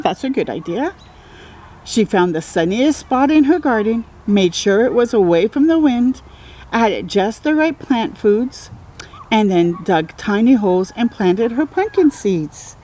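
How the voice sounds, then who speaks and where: A woman's voice: a little high, dark tone. A woman reading a picture book aloud to young children, expressively, with exclamations and encouraging little asides. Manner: exclaims and comments encouragingly, drawing out key words. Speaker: children's read-aloud storyteller.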